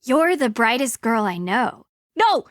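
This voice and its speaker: An actress playing a flustered, defensive, sharp-tongued teenage girl in a video game. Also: a little high, sing-song, a little slow, dry recording, clean studio sound. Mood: flustered, exasperated. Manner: prickly, easily exasperated.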